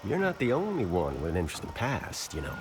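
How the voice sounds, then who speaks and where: An actor playing a roguish older American adventurer in a video game, with a folksy, teasing manner and wry charm. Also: male voice, deep voice, wildly swooping pitch, fast talker, music in the background. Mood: persuasive, wry. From United States. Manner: folksy, teasing, drawling wisecracks. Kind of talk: video game cutscene acting.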